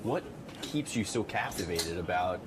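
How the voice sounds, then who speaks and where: A man conducting an unscripted radio interview, putting measured, probing questions. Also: deep voice, expressive, a crowd in the background, poor audio.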